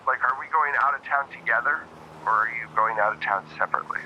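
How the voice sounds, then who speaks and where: A man's voice: mid-range voice, quick pace, dull audio, slight background noise, natural voice. A man voicing an anxious, suspicious bride-to-be in a tabletop game, questioning and hesitant. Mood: worried, suspicious. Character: anxious, suspicious bride-to-be fretting over wedding plans.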